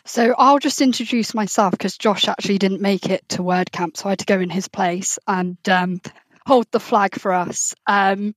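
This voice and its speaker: Brief: female guest, brisk and friendly, dry close recording. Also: mid-range voice, steady tone, no echo, low-quality recording. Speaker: guest, marketing lead. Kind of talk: casual podcast interview.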